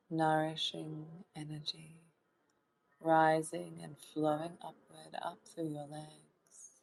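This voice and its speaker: A woman leading a guided meditation in a soft, soothing voice that sometimes drops toward a whisper, in short phrases with long pauses. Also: sits low, creaky, low-quality recording. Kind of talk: guided meditation, hypnotic trance induction.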